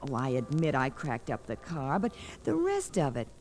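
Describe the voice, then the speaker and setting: Swooping, spoiled society debutante. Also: female voice, extremely low pitch, wildly swooping pitch, moderate pace, darkish tone, heavily acted. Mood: dismissive. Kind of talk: 1940s radio drama acting. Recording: noisy vintage 1940s radio recording.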